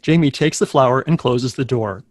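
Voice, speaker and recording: A man's voice: mid-range voice, moderate pace, no echo. A man narrates scripted stage directions at a table read. His voice sits in an ordinary register and is recorded dry, with little room sound.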